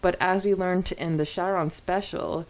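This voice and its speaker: Lower-voiced woman chatting on a podcast. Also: fairly even intonation, normal speed. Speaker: podcast co-host. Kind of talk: casual fan discussion podcast.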